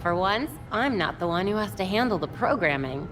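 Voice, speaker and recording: An actress playing a determined young masked heroine in a video game, speaking in an earnest, assured way. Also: female voice, mid-range voice, expressive, normal speed, background noise, natural voice. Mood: eager, confident. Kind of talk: video game cutscene acting. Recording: music behind the voice. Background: music.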